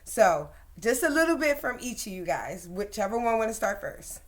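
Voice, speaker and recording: A woman hosting a casual interview show, lively and quick to laugh. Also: a little high. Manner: lively, laughing, repeats herself. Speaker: talk show host.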